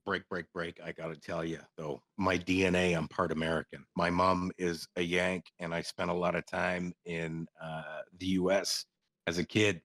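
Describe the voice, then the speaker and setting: A man from Canada with a very deep voice tells stories in an easygoing, joking way, laughing often and swearing casually. The sound is dry and close to the mic, with no room around it. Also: flat delivery, moderate pace, a bit bright, dry recording. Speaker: guest, former soldier. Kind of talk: casual podcast storytelling.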